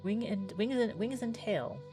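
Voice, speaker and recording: A woman giving a dramatic, deliberate read-aloud of narrative game text, pausing for effect. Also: mid-range voice, animated. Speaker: streamer playing a visual novel demo. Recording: music playing under the voice.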